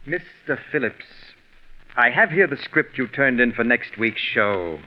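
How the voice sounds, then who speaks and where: An actor playing an imperious, fault-finding show boss in a 1940s radio drama, curt and pompous. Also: male voice, medium pitch, lively intonation, a little slow, lightly acted. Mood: dismissive. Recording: dull 1940s radio recording.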